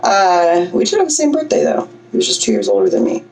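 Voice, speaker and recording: A woman with a low voice giving a casual, chatty breathing lesson, often checking in with "okay?". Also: wildly swooping pitch, fast talker, a little mellow, far from the mic. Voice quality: low singing voice. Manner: chatty, self-deprecating, coaching with frequent "okay?" checks. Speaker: vlogger teaching breathing. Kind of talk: casual instructional vlog.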